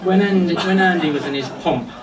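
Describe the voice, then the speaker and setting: A man delivering a eulogy full of anecdotes, telling stories in short phrases with deliberate pauses. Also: a little high. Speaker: friend giving a memorial tribute. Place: golf club function venue at a memorial service. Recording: echoey distant mic with background noise.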